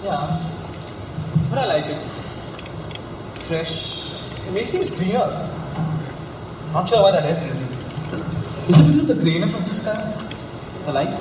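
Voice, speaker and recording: A man's voice: sits high, animated, unhurried, pauses often. An actor playing a reserved, pensive writer, a man musing in a stage play. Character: Mark, reserved, pensive male writer and teacher. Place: stage in a reverberant hall. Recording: echoey hall, distant mic, noisy.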